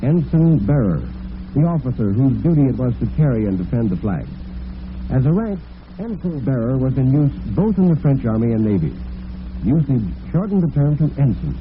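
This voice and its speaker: Male announcer, formal and instructive, animated intonation, hissy old-time radio sound. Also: mid-range voice, moderate pace. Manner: formal, didactic. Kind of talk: scripted mid-century radio announcing. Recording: noisy, narrow-band vintage radio recording.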